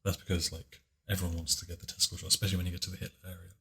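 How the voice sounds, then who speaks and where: Very deep-voiced soft-spoken man. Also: little pitch movement, very fast. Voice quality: very deep, low voice. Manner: soft-spoken, hesitant, with many fillers and restarts. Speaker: video creator giving commentary. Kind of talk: casual commentary chat.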